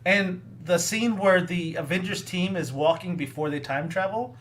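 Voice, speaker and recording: A man's voice: high-pitched, normal speed, a bit bright, a little hiss or hum. A man with an American accent, co-hosting a casual chat, enthusiastic and laughing often. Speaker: regular co-host.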